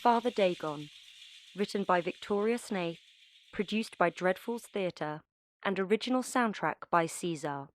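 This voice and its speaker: A woman reading scripted podcast credits as an announcer, in a measured and formal way. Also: on the low side, lively intonation.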